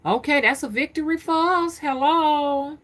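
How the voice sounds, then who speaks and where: A woman's voice: sits high, measured pace, fairly bright tone. A woman in her fifties talking casually on a live stream, rambling and often repeating her words as she goes. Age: 50s. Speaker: spiritual reader streaming live.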